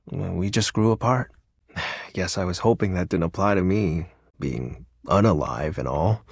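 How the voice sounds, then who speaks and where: An actor voicing a lonely, brooding personification of darkness for a video game, theatrical and grandiose yet awkwardly sincere. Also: male voice, sits low, unhurried, creaky, breathy edge, dry recording, studio quality, heavily acted. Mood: wistful, downcast. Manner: theatrical grandiose villain speech slipping into awkward, wistful sincerity. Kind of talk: dramatic video game character acting.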